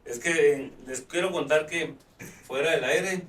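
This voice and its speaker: A man's voice: fairly high pitch, measured pace, a bit bright. A man hosting a live talk show, chatting with many fillers and restarts. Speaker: host. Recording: roomy, distant mic.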